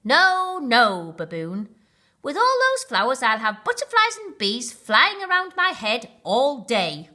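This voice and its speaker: Gloomy storybook rhino, a woman acting: self-conscious grumbler, forceful bright tone, big swings in pitch. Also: wildly swooping pitch, takes it slowly, exaggerated voice. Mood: exasperated. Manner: complaining, emphatic. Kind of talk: children's storybook reading.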